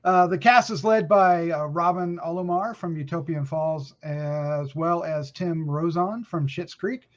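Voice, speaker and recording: A man's voice: on the high side, a little slow, few pauses. A man hosting a horror news podcast, presenting film news in a casual, jokey way. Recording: dull, lo-fi voice track.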